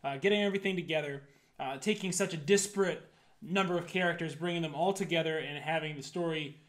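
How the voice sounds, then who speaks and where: A man's voice: a little high, fast. A man in his thirties co-hosting an unscripted movie review in a casual, conversational style. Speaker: co-host of a movie review show. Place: indoor room, seated before a projection screen.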